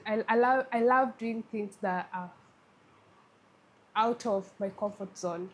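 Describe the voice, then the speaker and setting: A woman's voice: mid-range voice, slow. A woman from Kenya with a Kenyan accent, a tech community lead talking as a guest in a friendly, conversational way, with frequent restarts. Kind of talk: conversational interview.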